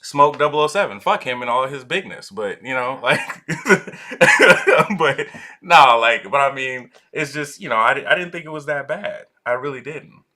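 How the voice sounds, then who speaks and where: A man's voice: mid-range voice, moderate pace. A young man from Atlanta hosting a casual interview, rambling with lots of fillers. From Atlanta, Georgia. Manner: rambling, many fillers, animated. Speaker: podcast host.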